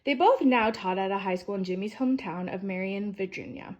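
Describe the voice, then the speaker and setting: A woman reading a children's picture-book biography aloud as a storyteller, with deliberate phrasing, emphatic sentence starts and softly falling endings. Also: mid-range voice, highs cut off.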